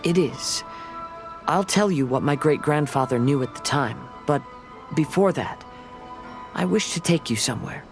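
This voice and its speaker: A man playing a calm young samurai wanderer in a video game, speaking in a measured, formal and polite way. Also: fairly high pitch, music in the background. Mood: calm, earnest. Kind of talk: video game dialogue acting.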